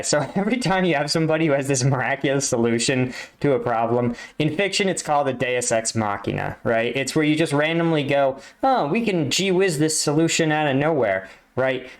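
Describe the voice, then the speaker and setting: A man's voice: a little high, fairly quick, hardly any pauses. Casual man explaining and critiquing. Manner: exasperated, explanatory, rhetorical "right?" tags. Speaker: reaction video host, army combat veteran.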